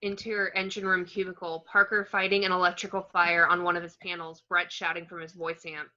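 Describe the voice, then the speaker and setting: Bright, low-voiced woman reading stage directions. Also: little pitch movement, brisk, highs cut off. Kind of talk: scripted screenplay narration at an amateur table read.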